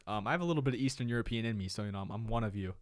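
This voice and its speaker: A young man co-hosting a podcast in relaxed, teasing banter, often saying "like" and "dude". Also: on the low side, racing, no room sound, studio quality. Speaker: podcast co-host.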